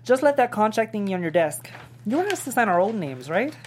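A woman's voice: mid-range voice, big pitch swings, brisk, darkish tone, a little hiss or hum, close to an everyday voice. A woman reading a blunt, clueless young male gamer in a comedy script table read. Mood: unsure.

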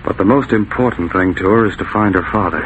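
A steady, decent Mountie voiced by a man with a fairly low pitch and measured, earnest delivery. It is 1940s radio acting, slightly dulled with faint noise. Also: close to an everyday voice. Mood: earnest, reassuring. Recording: dull, faintly noisy vintage radio.

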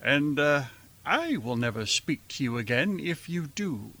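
Guy acting a tired wry dad in a radio play, really slow, deadpan, highish voice. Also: full character voice. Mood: dry, weary. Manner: deadpan, drawn-out, dryly ironic. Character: weary, wry middle-aged father and henpecked husband. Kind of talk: theatrical radio drama acting.

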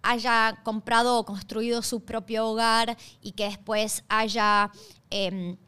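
Argentine woman explaining, on the higher-pitched side. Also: little pitch movement, slow, bright, forceful tone, smooth. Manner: explanatory, often checks in with listeners. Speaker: podcast host. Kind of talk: conversational podcast monologue.

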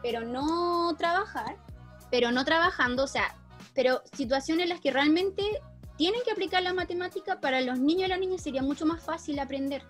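A woman with a Chilean accent in a casual discussion, explaining things in an animated, slangy, informal way. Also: sits high, fairly quick, faint music behind. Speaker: teacher.

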